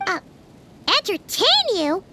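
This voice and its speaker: A woman voicing a tiny, excitable, childlike female fairy guide in a video game, bubbly and exclamatory. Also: very high voice, unhurried, background noise. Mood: puzzled, indignant. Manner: bubbly, exclamatory, refers to herself in third person. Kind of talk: video game character acting. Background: music.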